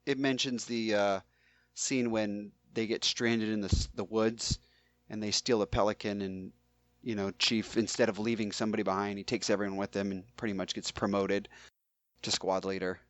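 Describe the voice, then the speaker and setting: A man co-hosting a podcast, chatting casually in a halting, conversational way. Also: fairly low pitch, little pitch movement, a bit bright, no room sound. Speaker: podcast co-host. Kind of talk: casual chat.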